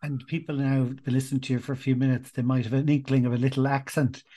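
A man's voice: mid-range voice, little pitch movement, fast talker, dark tone. A man interviewing a guest, asking friendly prompting questions. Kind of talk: casual interview.

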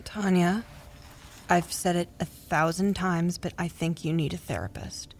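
A woman's voice: on the low side, steady tone, measured pace, faint music behind. A woman playing a blunt, protective best friend in an audio drama, with a direct, casual and insistent delivery. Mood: earnest, firm. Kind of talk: naturalistic audio drama acting.

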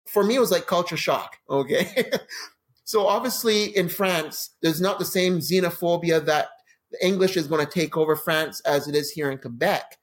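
Canadian guy in his 40s or so, guest on a podcast, telling funny travel stories, kinda high voice, acts stuff out. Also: normal speed. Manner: animated anecdotes with laughs and acted-out quotes. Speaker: guest, crime novelist. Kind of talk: casual interview storytelling.

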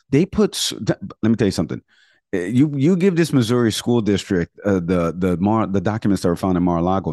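A man's voice: lowish voice, mellow, no echo, clean studio sound. An American man co-hosting a podcast, giving casual news commentary in an emphatic way, often rephrasing his points. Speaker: podcast co-host.

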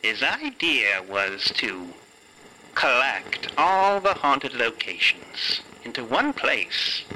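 A man voicing an eerie discarnate spirit that speaks slowly and formally from a ghost box in an audio fiction game. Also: medium pitch, very animated, sharp tone, a little hiss or hum, exaggerated voice, low-quality recording. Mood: ominous, clinical. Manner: slow, deliberate, formal phrasing. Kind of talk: tabletop audio fiction role-play.

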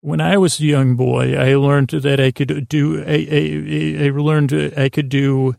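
A man hosting a bedtime podcast in a gravelly voice, rambling in a halting, meandering, self-deprecating way. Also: a little high, level tone, darkish tone, no echo, clean studio sound. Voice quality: gravelly, creaky. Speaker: podcast host.

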